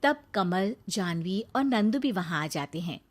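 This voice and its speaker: A woman narrating a moral story in a calm, plain storytelling manner. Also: mid-range voice, measured pace.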